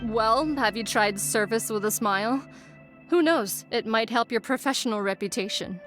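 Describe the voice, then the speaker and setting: Cheerful guard, played by a woman: higher voice, upbeat and teasing, music behind. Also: normal speed, a bit bright, close to an everyday voice. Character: brisk, cheerful young female guard. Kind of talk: video game voice acting.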